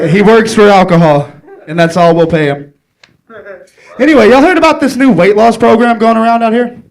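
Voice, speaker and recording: A man's voice: high voice, normal speed, creaky, rough recording. A young man hosting a local talk show from a small bar, cracking crude jokes. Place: small bar venue, indoors.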